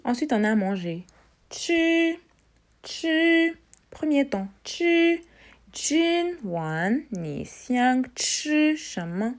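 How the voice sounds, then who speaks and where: A woman teaching a casual language lesson, repeating words slowly and explaining each in turn. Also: big pitch swings. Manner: drills tones in a high voice.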